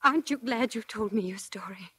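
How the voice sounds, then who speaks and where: A woman's voice: medium pitch, fairly quick, sharp tone, breathy edge, no echo. An actress playing an earnest, devoted woman who implores and firmly defends her man in a 1940s radio drama. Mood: anxious pleading.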